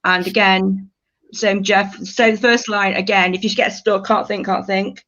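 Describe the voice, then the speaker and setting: Woman, workshop leader, bright forceful tone, encouraging, informal instruction, slightly dull lo-fi audio. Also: mid-range voice, level tone, unhurried. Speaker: creative writing workshop leader.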